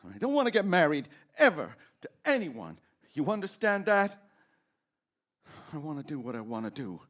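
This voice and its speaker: Restless young American man, swooping pitch. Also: wildly swooping pitch, moderate pace, a bit bright. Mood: agitated, angry. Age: young adult. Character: restless, stubborn young small-town man in love. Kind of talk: old-time radio drama acting.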